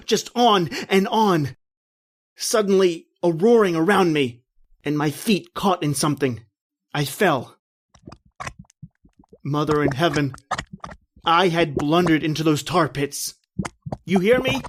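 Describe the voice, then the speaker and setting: A man's voice: high-pitched, fairly bright tone, voice pushed up. A man playing a guilt-ridden, terrified doomed man, declaiming urgently and melodramatically in old-time radio horror style.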